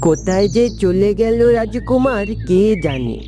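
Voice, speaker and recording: A man's voice: high-pitched, animated, unhurried. A man voicing a worried, cautious royal attendant in a fairytale cartoon, speaking deferentially. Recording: music behind the dialogue.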